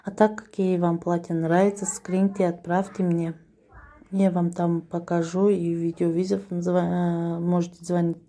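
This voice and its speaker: Tajik-accented lady selling dresses, low mellow voice, kinda hesitant, phone video at home. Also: level tone, unhurried. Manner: hesitant, with fillers and restarts. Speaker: clothing seller. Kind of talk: casual product showcase video. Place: small room at home. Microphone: handheld phone.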